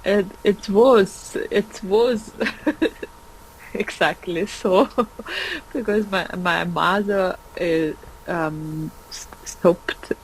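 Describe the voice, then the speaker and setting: Hesitant woman learner, very slow. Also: medium pitch, slight background noise, rough recording. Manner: hesitant, searching for words, laughing often. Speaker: language learner. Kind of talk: conversation practice.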